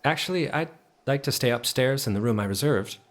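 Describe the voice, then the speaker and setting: An actor playing a fearful, solitary male professor who narrates an audio drama in a grave, hushed, deliberate way. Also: mid-range voice, expressive, dry recording, natural voice. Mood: reserved, polite. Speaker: narrator and lead character.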